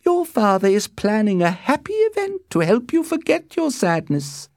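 High-pitched, domineering noble mother. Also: big pitch swings, normal speed, a little mellow, dry recording, clean studio sound. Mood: brisk, insistent.

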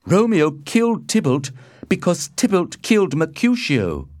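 A man acts a loyal young friend in a storybook tragedy. The voice sits high and moves about expressively, emphatic and earnest. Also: wildly swooping pitch, measured pace, a little mellow, dry recording, studio quality, heavily acted. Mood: earnest, explaining. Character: loyal, urgent young friend giving an account.

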